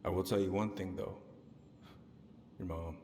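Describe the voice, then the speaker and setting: An actor playing a middle-aged small-town police chief and uncle in a video game, folksy, measured and paternal. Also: male voice, sits low, fairly even intonation, dark tone, long reverb tail, a little hiss or hum. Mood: guarded, paternal. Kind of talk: naturalistic video game acting.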